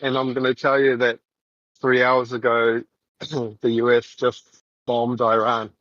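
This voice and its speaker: A man's voice: medium pitch, level tone, fairly bright tone, dry recording. New Zealand man rambling on a muffled call. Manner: rambling, halting, many fillers. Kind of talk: casual voice chat while walking. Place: street outdoors, walking.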